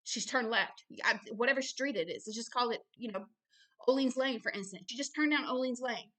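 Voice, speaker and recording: Emphatic, bright-voiced woman preaching. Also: on the high side, brisk. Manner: emphatic, blunt, repeats phrases for stress. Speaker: ministry founder and host reading and commenting on a book.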